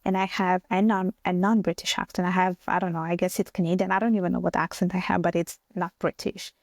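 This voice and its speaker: A woman's voice: lowish voice, level tone, fast, no room sound, studio quality. A woman from Italy with an Italian accent, a native Bengali and Italian speaker, telling personal stories as a podcast guest. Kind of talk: conversational personal storytelling.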